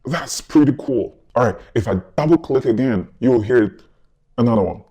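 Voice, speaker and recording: A man's voice: mid-range voice, animated, normal speed, mellow, slightly rough, pitched below the performer's own voice. A man talking as a powerful male figure through a voice changer, demonstrating a gadget casually. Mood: demonstrative.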